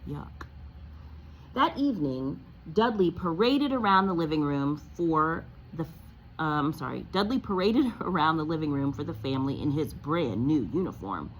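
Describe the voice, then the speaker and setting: Woman, teacher, animated read-aloud, slightly low voice, widely varied intonation, explanatory manner, faint room noise behind. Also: normal speed, dull audio. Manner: expressive, asks questions and explains as to young pupils. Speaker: teacher reading aloud to students. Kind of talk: read-aloud of a children's novel with teaching commentary.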